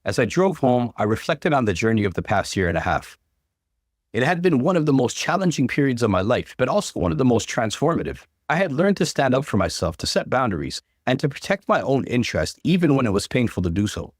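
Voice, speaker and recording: A man reading an online story aloud as the channel's narrator, in an expressive storytelling manner. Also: medium pitch, a little fast, no room sound, studio quality.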